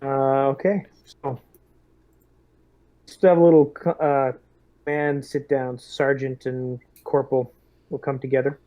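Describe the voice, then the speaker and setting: A man in a tabletop roleplaying game, speaking as a lieutenant in deliberate, hesitant military talk. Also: mid-range voice, little pitch movement, warm tone, rough recording.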